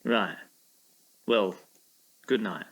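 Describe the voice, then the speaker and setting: An actor playing a flustered, irritated, proper landlord who blusters with exasperation in a period audio drama. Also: male voice, lowish voice, very slow, a bit bright, exaggerated voice. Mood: weary, exasperated.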